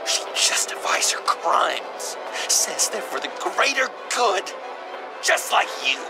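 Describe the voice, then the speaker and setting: Theatrical, vengeful young madman in a game; extremely animated, slow dramatic delivery, volatile whisper-to-shout swings. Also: high-pitched, exaggerated voice. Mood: bitter, raging. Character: unhinged, theatrical young male villain craving approval and vengeance. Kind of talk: dramatic video game voice acting. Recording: loud music underneath.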